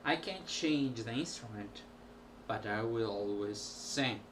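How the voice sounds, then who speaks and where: A man, a musician, talking casually to camera at home in a halting way with many pauses. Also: fairly low pitch, animated. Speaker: musician vlogger. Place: small room at home. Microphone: built-in camera mic. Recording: distant home camera audio with background noise.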